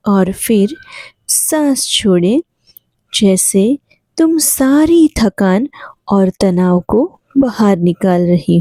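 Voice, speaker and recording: A woman guiding a sleep meditation in a soothing, gentle manner, leaving long pauses between short phrases. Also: mid-range voice, no echo. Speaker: guided meditation instructor. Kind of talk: guided sleep meditation, yoga nidra narration.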